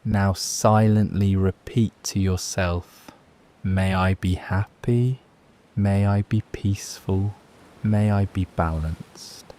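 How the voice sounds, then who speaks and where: A man guiding a scripted meditation in a soothing, gentle, measured way, leaving long pauses between phrases. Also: deep voice, steady tone. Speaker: meditation guide.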